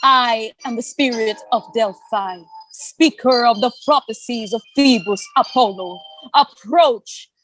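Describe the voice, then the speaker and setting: A woman's voice: a little high, measured pace, no echo, soft background music, poor audio. A woman playing an ancient, foreboding female oracle spirit in a theatre table read, intoning prophecy solemnly and portentously. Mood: solemn, ominous.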